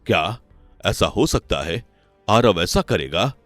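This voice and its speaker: A man voicing a kindly, dutiful middle-aged king and father in an animated story, courteous and regal. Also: on the low side, slow pace, dry recording, soft background music, full character voice. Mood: troubled, commanding. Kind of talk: animated moral story voice acting.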